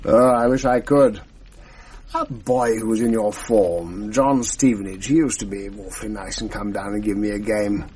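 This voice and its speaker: An actor playing a genial, chatty retired schoolmaster, older, in a 1980s radio drama. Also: male voice, on the low side, moderate pace, darkish tone, slight background noise, natural voice. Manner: genial, chatty, old-fashioned.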